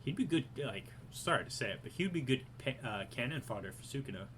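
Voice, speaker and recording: Excitable, profane man on a podcast. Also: mid-range voice, quick pace, a little hiss or hum. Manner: excitable, profane, animated swings in loudness. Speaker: podcast co-host. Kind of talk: casual chat.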